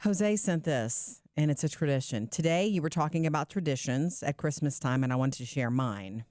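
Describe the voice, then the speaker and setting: A woman co-hosting a podcast, chatting casually, laughing easily and reading listener letters aloud in a warm, reflective way. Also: deep voice, lively intonation, normal speed, no echo, studio quality. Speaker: podcast co-host.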